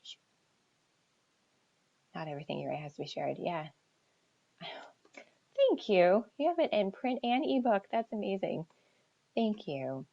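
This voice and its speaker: An American woman chatting casually as an author on a livestream Q&A, thinking aloud in a halting, reflective way and often trailing off. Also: medium pitch, sing-song, vocal fry. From New Hampshire seacoast, United States. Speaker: author answering audience questions. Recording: dull lo-fi livestream audio.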